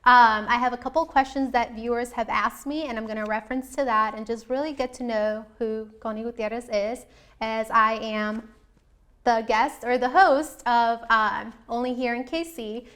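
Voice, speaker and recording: A woman's voice: fairly high pitch, fairly even intonation, moderate pace, a bit bright, clear, resonant tone. A young woman from the US Midwest, born in Colombia, hosting a show and talking informally and earnestly to viewers about herself, often rephrasing as she goes. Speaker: show host.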